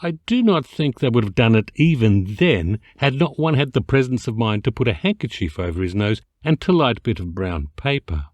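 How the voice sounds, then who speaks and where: Male narrator, mid-pitched, for humorous classic fiction: theatrical, very animated, mock-solemn. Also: moderate pace, few pauses, dry recording. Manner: theatrical, expressive, mock-dignified. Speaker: amateur voice actor reading an audiobook excerpt. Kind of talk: comic literary narration, storytelling.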